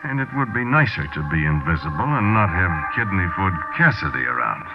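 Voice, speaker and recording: An actor playing a hard-boiled American small-time crook, narrating colloquially and confidingly in a 1940s radio drama. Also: male voice, low-pitched, very animated, a little fast, over loud music. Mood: brooding, uneasy. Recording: dull 1940s radio broadcast recording.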